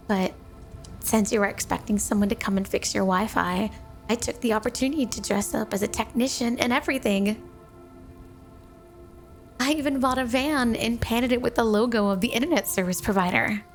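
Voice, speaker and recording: Woman voicing a doting snow spirit wife, obsessive, coy and teasing, fairly high voice, ASMR roleplay, soft music behind. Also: natural voice. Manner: flirty, affectionate, teasing, coy. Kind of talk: ASMR roleplay, intimate monologue to a listener.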